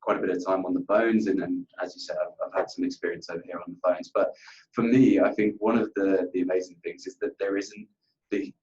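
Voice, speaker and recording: A man speaks in a deep voice with little melodic movement. He gives earnest, conversational interview answers, often doubling back on his words. The audio is slightly dull. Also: fairly even intonation, a little fast, a little mellow. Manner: earnest, repeats himself, often says "you know". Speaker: account manager being interviewed.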